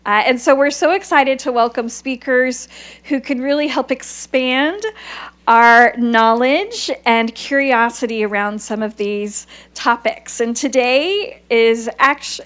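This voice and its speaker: Upbeat woman introducing a speaker. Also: on the high side. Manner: enthusiastic, warm, with frequent "uh"s. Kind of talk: formal introduction at a lecture event.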